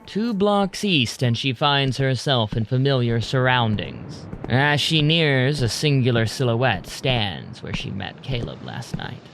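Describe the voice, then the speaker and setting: A man narrating a scripted audio drama in a measured, deliberate way. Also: mid-range voice, sing-song, fairly bright tone. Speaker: narrator, storyteller.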